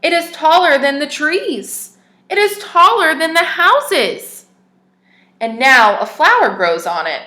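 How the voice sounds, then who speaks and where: Bright, expressive woman teacher reading aloud. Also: high voice, slow pace, echoey room. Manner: expressive, asks the young listeners questions. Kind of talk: reading a picture book aloud to children.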